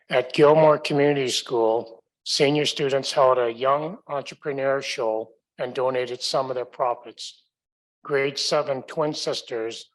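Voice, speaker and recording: A man's voice: medium pitch, poor audio. Man reading community school news. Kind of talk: scripted news roundup reading.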